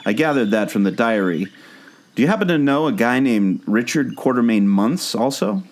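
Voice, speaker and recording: A man's voice: medium pitch, lively intonation, moderate pace, lightly acted. A man playing an earnest, obsessive amateur sleuth and former jungle skipper in a scripted audio drama. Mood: explaining. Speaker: podcast co-host.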